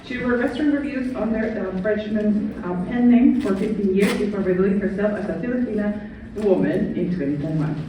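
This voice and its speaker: High-voiced man with a Mexican accent. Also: a little fast, dark tone, slight background noise. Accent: Mexican Spanish. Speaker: panel moderator. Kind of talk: reading a speaker introduction. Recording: echoey hall from far off.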